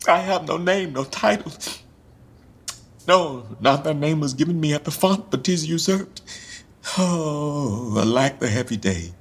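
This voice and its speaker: Slow, anguished deposed English king. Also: mid-range voice, breathy edge, exaggerated voice. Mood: despairing, mournful. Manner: declamatory, mournful, deliberate. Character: deposed English king, anguished, self-dramatizing, bitterly proud. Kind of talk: Shakespearean verse radio play acting.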